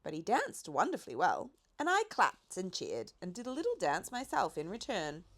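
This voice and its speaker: A woman narrating a children's audiobook in an expressive storytelling style. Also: on the low side, moderate pace. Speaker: audiobook reader.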